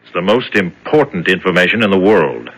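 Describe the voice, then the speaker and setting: An actor playing a cold, condescending prison chief in a 1970s radio drama. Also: male voice, low voice, very animated, fairly quick, fairly bright tone, slightly rough, full character voice. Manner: condescending, clipped. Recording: old radio drama recording.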